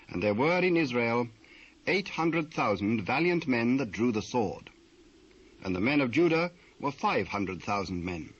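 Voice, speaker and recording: A man with a British accent narrating dramatised scripture in a measured, formal manner. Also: mid-range voice, a bit bright, breathy edge. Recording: muffled old cassette transfer.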